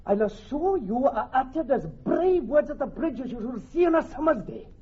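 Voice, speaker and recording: Pedantic officer, emphatic, very lively pitch, stage-trained delivery, muffled hissy radio recording. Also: male voice, moderate pace. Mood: indignant. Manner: fussy, emphatic explaining. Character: pedantic, earnest, excitable Welsh army captain. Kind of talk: theatrical Shakespearean radio acting. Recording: dull, noisy old radio recording.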